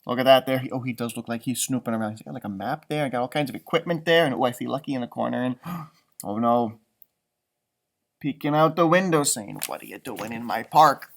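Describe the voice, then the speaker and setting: A man's voice: medium pitch, fast talker, gravelly. A man reading a children's story aloud, adding chatty, improvised asides about the pictures. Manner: animated, chatty commenting on pictures. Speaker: storybook reader.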